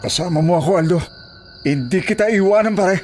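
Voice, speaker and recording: A man voicing a loyal, excitable male friend with an urgent delivery in a horror audio drama. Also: high voice, fairly quick, pitched above the performer's own voice, close to an everyday voice. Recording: clear background music under the voice.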